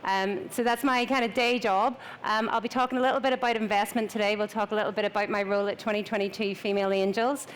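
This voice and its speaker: A woman's voice: mid-range voice, steady tone, fast, few pauses. A woman with an Irish accent, chatty and self-deprecating as she introduces herself on a panel. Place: conference panel stage.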